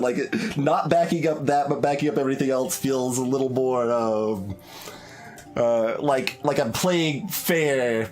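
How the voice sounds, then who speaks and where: A man giving casual, rambling playthrough commentary as a video game streamer, musing aloud as he goes. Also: medium pitch.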